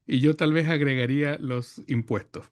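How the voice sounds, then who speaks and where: A man from Chile, a podcast guest who speaks reflectively, pausing often with fillers as he gathers his thoughts. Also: mid-range voice, fairly even intonation, background noise. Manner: thoughtful, many hesitations and fillers. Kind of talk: reflective conversation.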